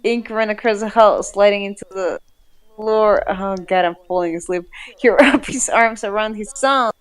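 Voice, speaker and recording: A woman's voice: mid-range voice, normal speed, clear, resonant tone. A woman reading fan fiction aloud in a casual, dramatic way, giggly and often breaking off to joke. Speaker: fan fiction reader, co-host.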